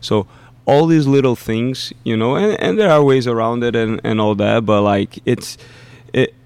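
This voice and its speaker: A man's voice: medium pitch, moderate pace, darkish tone, clean tone, no echo. A man, a youth soccer coach, talking casually on a podcast and often repeating himself as he thinks aloud.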